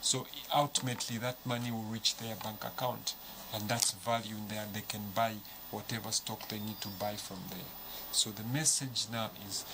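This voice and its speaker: A man with a Zambian accent speaking as a panel guest, measured and explanatory, walking through examples. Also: medium pitch. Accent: Zambian English. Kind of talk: studio panel discussion. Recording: noisy studio recording.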